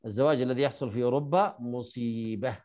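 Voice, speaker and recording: A man teaching a jurisprudence lesson online, briskly quizzing and correcting his students. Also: mid-range voice, warm tone.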